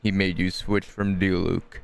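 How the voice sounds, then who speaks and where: A man's voice: deep voice, little pitch movement, measured pace, creaky, clear, resonant tone. A man streaming a video game, giving casual commentary in a laid-back, offhand, joking way. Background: game music.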